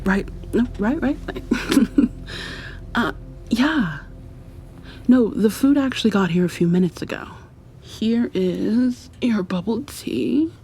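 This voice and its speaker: A woman playing an affectionate, playfully dominant girlfriend in an intimate ASMR roleplay, murmuring softly and breathily to the listener, often sliding into whispers. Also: expressive, slow, pauses often. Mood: affectionate, cozy. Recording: audible background hiss with low rumble.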